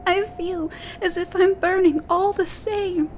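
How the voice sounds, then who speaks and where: A woman voicing a frightened young girl, high and tearful. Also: fairly even intonation, unhurried, a little mellow, a little hiss or hum. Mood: fearful.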